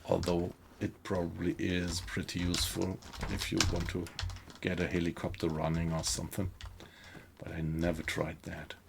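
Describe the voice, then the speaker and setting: A man's voice: low-pitched, completely flat delivery, moderate pace, gravelly, a little hiss or hum. A man with a German accent streaming a survival game, chatting easily with viewers and thinking aloud as he plays. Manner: easygoing, thinks aloud, reacts to the game while talking to chat. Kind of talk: live gameplay commentary, chatting with viewers.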